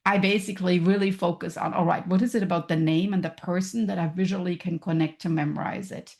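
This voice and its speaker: A woman's voice: lowish voice, fairly even intonation, fast talker, darkish tone. Woman, German accent, podcast guest, thoughtful, explains with examples, upbeat. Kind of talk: casual interview chat.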